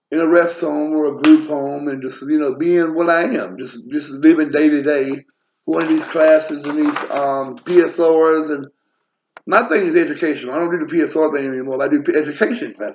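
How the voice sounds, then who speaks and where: A man in his forties talks on in a wandering personal monologue, blunt and full of swearing. His voice sits a little high for a man. The recording is dull and lo-fi. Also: slight background noise. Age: 40s. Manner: blunt, profane, wandering asides. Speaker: vlogger. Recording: lo-fi muffled audio.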